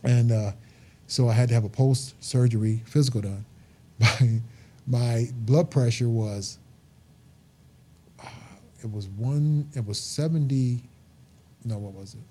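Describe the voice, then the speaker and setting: Earnest man in his fifties, very dark voice. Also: mid-range voice, unhurried, long pauses. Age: 50s. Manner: earnest, emphatic, repeats himself. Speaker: guest, truck driver. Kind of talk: casual interview talk.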